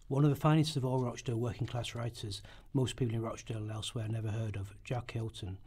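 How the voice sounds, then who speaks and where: A man's voice: medium pitch, steady tone, quick pace, darkish tone, husky. A man reading a script aloud as a narrator in rehearsal, now and then stumbling over his words. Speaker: radio play narrator in rehearsal.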